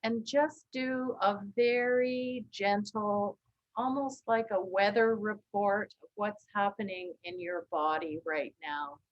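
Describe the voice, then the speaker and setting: Slow, gentle woman guiding meditation, muffled sound. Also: medium pitch. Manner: gentle, calm, unhurried with long pauses. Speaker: meditation teacher. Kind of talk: guided body scan meditation.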